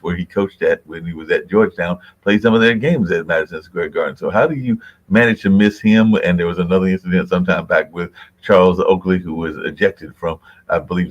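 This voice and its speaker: A man's voice: sits low, dull audio. An older man hosting a radio talk show, who asks long, rambling questions and keeps saying "and everything". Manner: rambling, conversational, repeats "and everything".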